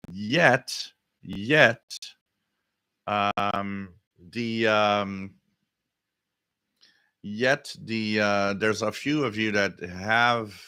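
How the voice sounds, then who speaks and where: A man hosting a casual live stream, reading out comments and answering them in a halting, thinking-aloud way. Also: lowish voice, pushed and bright, no room sound, studio quality. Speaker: livestream host.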